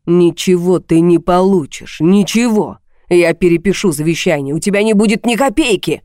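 A woman's voice: medium pitch, normal speed, mellow, no room sound, studio quality, natural voice. A woman voicing a volatile, self-pitying husband in an audiobook drama, agitated, accusing and pleading. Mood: furious.

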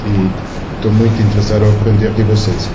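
A man speaking Portuguese as a second language, his accent carrying faded Brazilian traits, in a fairly low voice. He talks in a friendly, unhurried way and pauses now and then to find a word. The room is echoey and the background is noisy. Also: little pitch movement. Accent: foreign speaker of Portuguese with faded Brazilian traits. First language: not Portuguese. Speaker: World Bank country director. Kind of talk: workshop welcome remarks. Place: meeting room.

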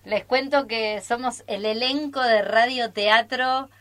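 A woman's voice: a little high, slow, fairly bright tone. A middle-aged woman with an Argentine accent, a theatre teacher chatting as a guest in a radio studio. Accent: Argentine Spanish. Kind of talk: casual group interview.

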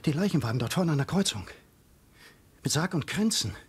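Nervöser Ehemann im Krimihörspiel, sehr bewegte Stimme. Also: male voice, on the high side, animated, fairly quick, breathy edge. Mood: haunted, uneasy. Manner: dramatic, swinging between hushed and urgent. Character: anxious husband on a night drive, haunted, urgent. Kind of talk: German radio crime drama acting.

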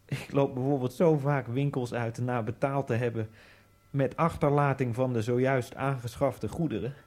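A man's voice: medium pitch, fairly even intonation, moderate pace, darkish tone. An actor playing an Egyptian immigrant, telling his story in a dreamy, brooding radio drama monologue over music. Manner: brooding, dreamy inner monologue with sudden bursts.

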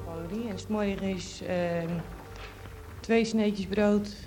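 A woman explaining casually, haltingly listing things item by item. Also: medium pitch, steady tone, loud music behind the voice. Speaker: programme guest.

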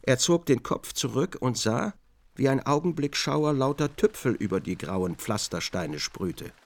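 A man narrating a literary radio drama as an observant, measured storyteller. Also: medium pitch, lively intonation, creaky.

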